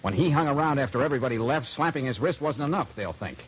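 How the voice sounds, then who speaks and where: An actor playing a tough, protective, slangy private investigator in a 1940s radio drama, on a muffled old recording. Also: male voice, medium pitch, expressive, slight background noise, close to an everyday voice. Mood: urgent, protective. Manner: brisk, slangy, wry.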